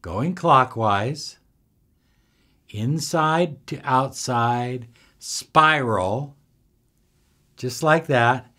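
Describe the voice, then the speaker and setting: A man in his sixties giving instructional self-help talk to camera, deliberate and emphatic, pausing between short phrases. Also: medium pitch, bright, forceful tone. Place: small indoor room with bookshelves.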